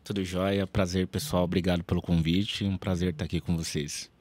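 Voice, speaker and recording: A man who is a guest on a podcast explains his team's choices at a measured pace, often adding tag questions and fillers. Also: sits low, little pitch movement, darkish tone. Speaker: guest, data team lead. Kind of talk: podcast interview answer.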